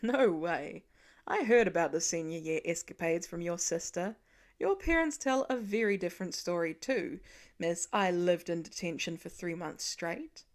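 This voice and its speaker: Woman voicing a lowered-voice, wry, gentle dad. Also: expressive, normal speed. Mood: teasing. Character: warm, wry, reassuring father, adult man.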